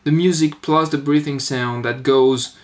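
A man giving an informal demonstration of his electronics project, speaking in a hesitant, halting way. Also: mid-range voice, steady tone. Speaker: electronics hobbyist presenting own board. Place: indoors at a workbench. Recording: noisy webcam-style audio with clicks.

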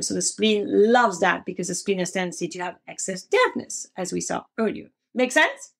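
A woman's voice: medium pitch, creaky. A middle-aged woman with a French accent, hosting a podcast and explaining things simply and casually, often checking in with the listener. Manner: friendly, explains simply, asks listeners "make sense?". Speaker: podcast host and acupuncture teacher. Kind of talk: casual teaching monologue.

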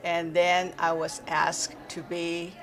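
Brief: woman in her sixties, exercise teacher, slow and gravelly, halting. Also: fairly low pitch, completely flat delivery, fairly bright tone. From born in China, raised in Taiwan. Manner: halting, with frequent uh fillers and simple grammar. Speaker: exercise instructor. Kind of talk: casual interview. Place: indoor library room, seated in armchair.

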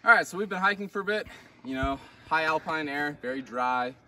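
A young man hosting a casual hiking video outdoors, relaxed and joking, with filler pauses, picked up by a camera mic a few feet away. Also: a little high, far from the mic, over loud music. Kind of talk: casual outdoor vlog narration. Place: outdoors on a mountain trail.

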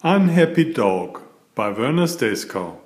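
A man narrates a storybook for small children. His mid-pitched voice moves slowly, with lively rises and falls. He tells it deliberately and expressively, as an author sharing his own tale. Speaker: author reading his picture book aloud.

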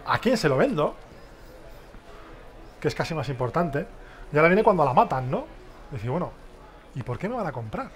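A man's voice: fairly high pitch, moderate pace, pauses often. A man in his thirties giving an interactive business workshop on a stage in a large exhibition hall, speaking into a headset mic and often quizzing the audience. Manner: lively, questioning the audience, many tag questions.

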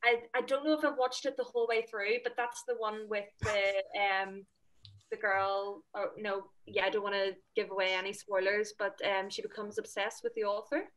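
A woman's voice: mid-range voice, level tone, moderate pace, a bit bright, poor audio. A young Northern Irish woman, a panel guest on a video call, talking casually and hesitantly. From Northern Ireland. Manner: hesitant, with fillers. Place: small room indoors.

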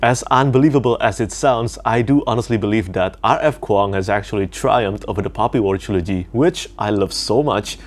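An earnest man in his thirties, a native Indonesian speaker, talks through a scripted book review with careful, precise diction. His voice sits a little low, and he sounds keen and sincere. He is recorded on a small lapel mic in a room at home. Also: a little fast, few pauses.

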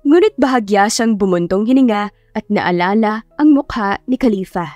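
A woman's voice: medium pitch, expressive, moderate pace, no room sound. A woman with a Filipino accent reading a dramatized story as an audiobook narrator. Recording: dry voice over faint music. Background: soft background music.

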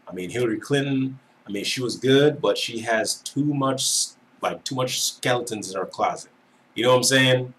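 A man's voice: medium pitch, moderate pace, fairly bright tone, low-quality recording. A man in his thirties with an Antiguan accent, chatting casually through a reaction video at his desk, laughing and asking viewers if they agree. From Antigua and Barbuda. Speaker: reaction creator. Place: small room indoors.

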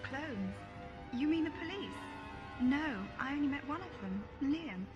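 A woman's voice: high-pitched, expressive, measured pace, muffled recording, music in the background. A woman playing a weary, naive immigrant in a science fiction audio drama, hesitant and plaintive. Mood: naive, wistful.

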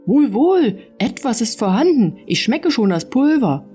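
A woman voicing a timid, alarmed man in a fairy tale, exclaiming excitedly. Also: fairly high pitch, unhurried, soft background music, voice pushed up.

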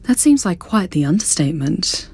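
An actress playing a composed, mysterious lady who teases coolly with unhurried, wry understatement in a horror audio drama. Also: lowish voice, big pitch swings, a little mellow, slightly rough, faint music behind, heavily acted. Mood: cool, teasing.

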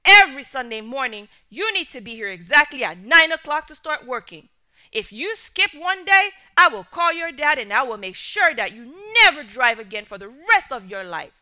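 A woman voicing an angry middle-aged father who shouts and scolds in a storybook reading. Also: very high voice, animated, normal speed, hardly any pauses, lo-fi sound, pitched above the performer's own voice, exaggerated voice.

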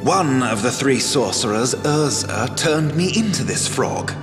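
A man's voice: medium pitch, lively intonation, a little slow, echoey room, in a large hall, over music, natural voice. A man voicing a cheeky, knowing talking frog, a cursed man, in a video game. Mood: sly.

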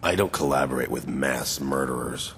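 An actor playing a grim masked vigilante detective in a video game, terse, stern and controlled. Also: male voice, extremely low pitch, moderate pace, creaky, a bit bright, a little hiss or hum, exaggerated voice. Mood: defiant. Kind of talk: video game hero acting. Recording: long hall reverb, music behind.

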